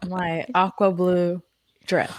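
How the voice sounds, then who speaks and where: A young woman co-hosting a casual podcast chat, chatty and quick to laugh. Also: on the low side, flat delivery. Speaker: podcast co-host.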